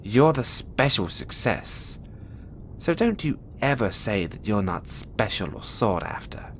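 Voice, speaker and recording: A man's voice: fairly low pitch, sing-song, a little slow, slight background noise. A man playing a huge, imprisoned experiment in an audio roleplay, cocky and bitter, teasing and volatile. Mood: teasing, probing. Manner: teasing, swaggering, swinging from soft murmurs to loud outbursts. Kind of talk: intimate audio roleplay monologue addressed to the listener.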